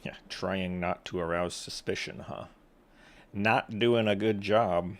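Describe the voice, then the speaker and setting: A man's voice: deep voice, fairly even intonation, slow, slight background noise. A man giving casual, offhand game commentary with dry, sardonic quips. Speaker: gaming streamer.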